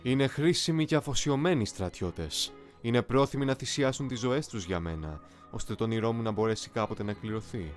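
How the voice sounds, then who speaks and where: A man playing a young, eloquent, coolly ambitious soldier in an anime fan dub, speaking in a formal, measured, philosophical way. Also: medium pitch, animated, natural voice. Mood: cool, resolute. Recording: music behind the voice.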